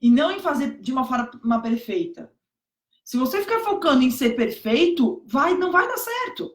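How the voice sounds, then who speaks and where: A hoarse woman teaching exam preparation on a live stream, emphatic, repeating key points and checking that viewers follow. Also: sits high, big pitch swings, normal speed. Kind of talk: motivational live stream talk. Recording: echoey room.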